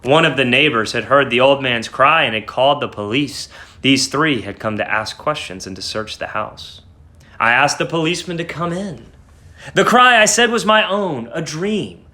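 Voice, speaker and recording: A man playing a mad, guilt-ridden murderer narrating a horror story, dramatic, shifting between hushed and frantic. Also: medium pitch, animated, normal speed. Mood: cold, boastful. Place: small home room.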